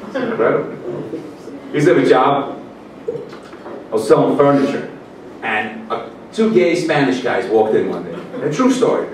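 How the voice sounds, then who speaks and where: A man's voice: medium pitch, very animated, moderate pace, long pauses, slight background noise. A man raised in Queens doing a brash, profane stand-up set at a comedy club, working the crowd between bits. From Russia, raised in Queens, New York. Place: comedy club, live audience. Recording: echoey club, distant mic, loud laughing crowd.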